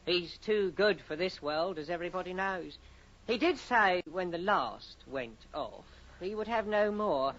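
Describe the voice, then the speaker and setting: An actor playing a chatty, officious solicitor's clerk in a radio horror drama, blunt but pitying. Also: male voice, high-pitched, expressive, measured pace, fairly bright tone, full character voice. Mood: gossipy, pitying. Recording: dull, lo-fi vintage radio recording.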